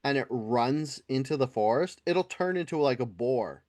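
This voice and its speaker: A middle-aged man co-hosting a casual podcast, talking in an animated, rambling way and swearing freely. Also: mid-range voice, normal speed, dry recording. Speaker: podcast co-host. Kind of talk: casual profane podcast chat.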